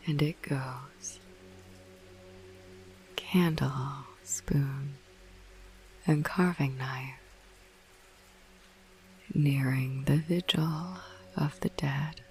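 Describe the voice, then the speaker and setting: A woman narrating a bedtime ASMR podcast in a hushed, breathy whisper, soothing and unhurried. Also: extremely low pitch, fairly even intonation. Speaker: host of a sleep podcast.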